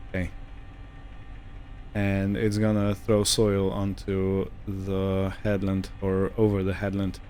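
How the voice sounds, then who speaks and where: A man giving casual let's-play commentary on a video game, musing to himself in an unhurried way. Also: sits low, monotone, dark tone, slight background noise. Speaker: gamer narrating own farming simulator playthrough.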